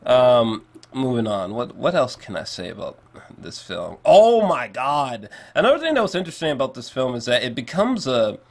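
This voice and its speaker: A man giving a casual, unscripted film review, speaking in a rambling, emphatic way peppered with profanity. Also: medium pitch.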